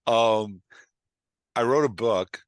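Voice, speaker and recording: A man's voice: fairly low pitch, slow pace, clear, resonant tone, dry recording, studio quality. A middle-aged man chatting casually as a podcast guest, informal and jokey, rambling as he goes. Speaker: podcast guest, author and writing coach. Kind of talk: casual chat.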